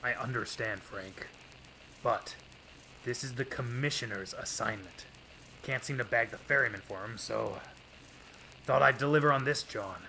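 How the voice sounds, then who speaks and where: Gravelly, hard-boiled police detective played by a man. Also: mid-range voice, normal speed, pauses often, pushed and bright, slight background noise, low-quality recording. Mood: matter-of-fact. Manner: hard-boiled, clipped cop talk. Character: tough, seasoned male police detective, old-time crime serial. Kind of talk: 1930s radio drama acting.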